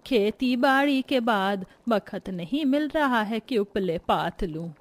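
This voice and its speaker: A woman's voice: fairly high pitch, animated, takes it slowly, no echo. A woman voicing a humble, weary old grandmother in her seventies, plaintive and folksy, in a cartoon story. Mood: grumbling, tired. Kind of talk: Hindi cartoon story voice acting.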